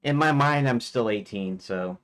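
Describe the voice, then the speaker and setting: A man's voice: medium pitch, lively intonation, unhurried, fairly bright tone. A man in his forties, a game developer chatting casually on a live stream, rambling with many fillers and restarts. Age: 40s.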